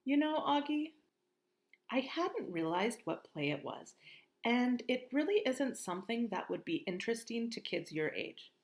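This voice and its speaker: A woman reading aloud voices a tense mother who speaks in a measured, placating way. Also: a little high, very animated, pitched above the performer's own voice. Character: careful, tense mother of two.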